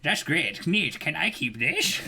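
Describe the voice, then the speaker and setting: Excitable dude reading manga out loud on a stream, silly, voice goes all over the place. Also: male voice, fairly high pitch, takes it slowly, creaky, husky. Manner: goofy, excitable, exclaims and acts out lines. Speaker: co-host of a manga read-along stream. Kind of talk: casual reaction commentary, reading manga aloud.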